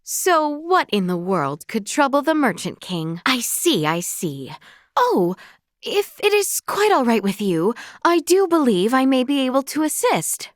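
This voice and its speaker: A young royal lady from a fantasy game, gracious and sincere. Her voice sits fairly high and moves with lively, sweeping intonation. She phrases everything with formal, courtly politeness, captured in a very dry recording. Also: unhurried, no echo. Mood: polite, composed. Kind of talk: scripted video game voice acting.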